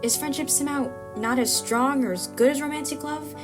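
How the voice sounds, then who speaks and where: An awkward young camera operator, voiced by a woman in an audio drama, talks over clear music. The delivery is hesitant and rambling, full of anxious self-doubt. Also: high voice, brisk, pitched above the performer's own voice, natural voice. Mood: earnest, anxious.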